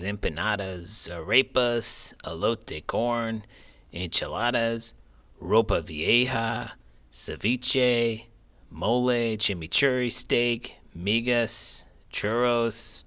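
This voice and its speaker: Old cowboy sidekick for a kids' show: very bright, forceful voice, unhurried drawl, blunt and rambling. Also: male voice, on the low side, exaggerated voice. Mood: dreamy, hungry. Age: older. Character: gruff food-loving old cowboy puppet sidekick. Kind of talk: comic banter on a children's read-aloud show.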